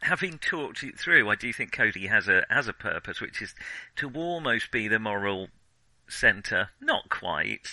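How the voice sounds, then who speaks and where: A man's voice: on the low side, big pitch swings, unhurried, bright, forceful tone, no room sound, low-quality recording. A man co-hosting a film podcast, talking casually and hesitantly as he corrects himself.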